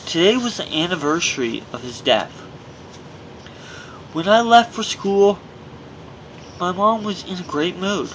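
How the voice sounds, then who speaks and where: Higher-pitched man narrating, noisy recording. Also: lively intonation, unhurried, pauses often, a bit bright. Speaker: author reading own memoir. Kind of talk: scripted audiobook narration.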